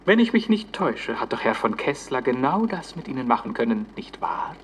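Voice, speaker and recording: A menacing count from an old melodrama, mocking and insinuating, with formal, lively intonation. The sound is that of an old film track with faint noise. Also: male voice, mid-range voice, a little fast, gravelly. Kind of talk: theatrical 1930s film dialogue.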